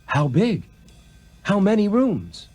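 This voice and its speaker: A performer playing a meek, hesitant fisherman in a musical children's story, puzzled and deliberate. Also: medium pitch, wildly swooping pitch, darkish tone, soft background music, heavily acted.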